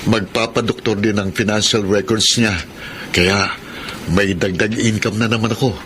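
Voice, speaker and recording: An actor playing a self-assured, money-minded young accountant in a Filipino radio drama, speaking casually. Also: male voice, fairly low pitch, steady tone, normal speed, slight background noise, natural voice. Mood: breezy, assured. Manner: casual, confident. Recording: studio radio drama over loud music.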